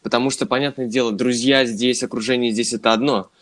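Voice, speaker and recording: Young man, podcast co-host, casual chat, joking, mid-pitched voice. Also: fast. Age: 20s. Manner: relaxed, joking, addresses listeners as friends.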